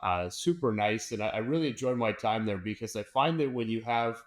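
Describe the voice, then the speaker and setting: Male host, somewhat low voice, eager and chatty, lots of restarts. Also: a little fast. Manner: upbeat, enthusiastic, many fillers and restarts. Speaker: podcast host. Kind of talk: casual interview chat.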